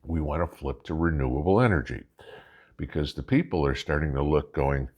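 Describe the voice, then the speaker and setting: An American man hosting a vlog with casual political commentary, talking in a folksy, emphatic, halting way and posing rhetorical questions. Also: very deep voice, a little mellow. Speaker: host of a preparedness vlog.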